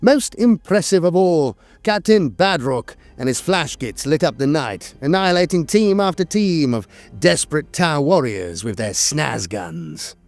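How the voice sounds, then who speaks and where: A man's voice: fairly high pitch, sing-song, measured pace, hardly any pauses, dry recording. A man reading scripted war lore as a narrator, with a theatrical storyteller's delivery. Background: music.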